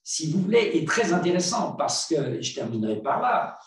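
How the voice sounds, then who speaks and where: Here is an older man with a French accent lecturing at a panel, his voice sitting a bit high. He sounds distant from the microphone in a very echoey room, and he speaks in a measured way with frequent hesitations. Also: big pitch swings. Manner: measured, lecturing with many fillers. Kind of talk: academic talk. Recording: echoey room, far from mic.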